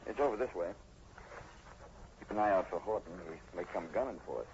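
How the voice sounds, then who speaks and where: Brisk deep-voiced American police sergeant. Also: male voice, animated, darkish tone. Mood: wary. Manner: clipped, commanding. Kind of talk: 1940s radio horror acting. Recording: muffled, noisy 1940s radio recording.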